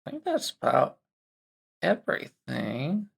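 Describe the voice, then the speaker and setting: A man playing a tabletop game, narrating casually with a loose, halting, joking manner. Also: mid-range voice, wildly swooping pitch, vocal fry, husky. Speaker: tabletop roleplay player.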